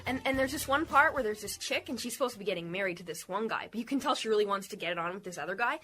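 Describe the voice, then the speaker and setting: A woman's voice: medium pitch, animated, racing, pushed and bright, faint music behind. A woman playing a defiant, TV-obsessed teenage daughter in a radio comedy, whiny, slangy and argumentative. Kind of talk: sitcom-style radio comedy acting.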